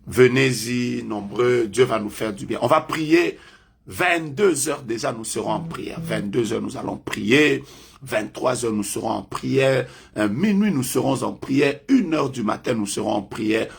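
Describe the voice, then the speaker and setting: A French-speaking man leading a live online prayer as a prophet, making emphatic, repetitive declarations that suddenly rise loud. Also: mid-range voice, gravelly, breathy edge.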